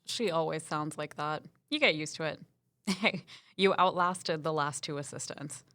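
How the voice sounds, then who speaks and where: A woman's voice: low voice, normal speed, pushed and bright, no echo. An actress playing a breezy, friendly woman office colleague in a scripted audio drama, casual and playfully joking. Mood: reassuring.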